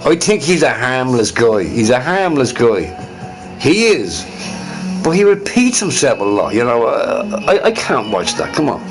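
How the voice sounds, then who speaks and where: Lively rambling man streamer, music behind. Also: mid-range voice, measured pace. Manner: casual, profane, laughs often. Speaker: livestreamer. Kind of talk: rambling solo stream chat.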